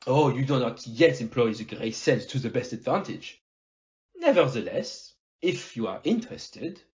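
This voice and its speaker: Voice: medium pitch, sing-song, moderate pace, darkish tone, natural voice. Fussy, confident Belgian male detective acted by an amateur for an audio play; wildly swooping intonation, didactic and theatrical, slightly muffled recording. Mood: courteous, wry. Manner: theatrical, didactic, rhetorical "eh?" tags, French phrases.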